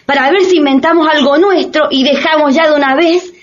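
An actress playing a lively, encouraging young woman in radio theatre. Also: sits high, brisk, muffled recording, natural voice. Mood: hopeful.

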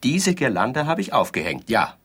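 A touchy, self-important bridegroom in a radio drama. He talks in stilted, pedantic sentences with theatrical weight. His mid-low voice has a slightly rough, husky edge. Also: big pitch swings, normal speed, fairly bright tone. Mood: huffy, pedantic. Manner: pedantic, stilted, over-elaborate phrasing. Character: pompous, touchy middle-class bridegroom on his wedding night.

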